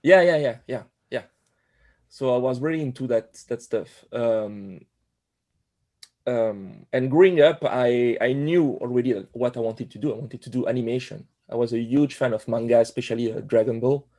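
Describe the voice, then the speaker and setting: A man in his forties from Corsica with a French accent, a guest telling his story in a podcast interview, pausing often with fillers and restarts. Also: mid-range voice, mellow. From Corsica, France. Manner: hesitant, many fillers and restarts. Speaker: guest, animator.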